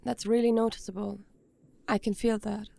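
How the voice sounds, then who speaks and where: A woman acting in an audio drama as a guarded, sluggish young person, speaking earnestly and haltingly. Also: medium pitch, flat delivery, gravelly, mellow.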